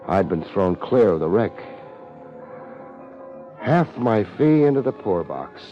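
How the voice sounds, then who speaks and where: A man's voice: on the low side, sing-song, takes it slowly. A man playing a wry, laid-back but tough private detective in 1950s radio drama, speaking in wisecracking slang. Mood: wry narrating. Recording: muffled old radio recording, music behind.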